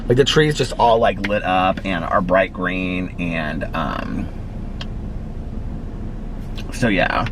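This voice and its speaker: A man's voice: low voice, slow pace, pauses often. A man with a slightly nasal voice, rambling casually and conversationally in a vlog. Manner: chatty, meandering, conversational. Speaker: vlogger. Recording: loud car and outdoor noise.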